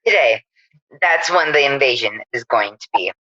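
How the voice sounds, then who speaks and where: A woman's voice: extremely low pitch, animated, moderate pace, bright, forceful tone, no room sound, highs cut off, heavily acted. A woman playing a breezy, gossipy housewife chatting with a friend in a radio play. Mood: breezy, chatty.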